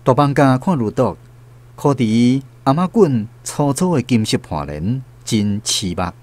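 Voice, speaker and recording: A man's voice: mid-range voice, animated, measured pace, a little mellow. A man with a Taiwanese accent narrating a literary radio drama.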